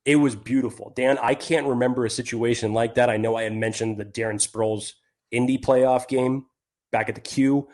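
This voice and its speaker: A man co-hosting a sports podcast, measured and reflective with bursts of emphasis. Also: mid-range voice, fairly even intonation, a little mellow. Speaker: podcast co-host.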